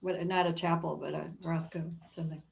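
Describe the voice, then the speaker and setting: A woman with a slightly low, noticeably creaky voice. She talks informally as a museum curator taking part in a panel discussion. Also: little pitch movement, fairly quick, poor audio.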